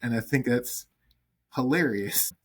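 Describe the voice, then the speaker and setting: A man from the American South co-hosting a casual podcast chat, animated and quick to act out the voices he quotes. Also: medium pitch, breathy edge. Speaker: podcast co-host.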